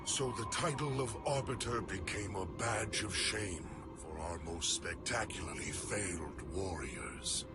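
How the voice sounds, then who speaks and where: An actor playing a proud, embittered alien elite warrior in a game cutscene, grave and emphatic in delivery. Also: male voice, deep voice, unhurried, hardly any pauses. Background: cinematic music.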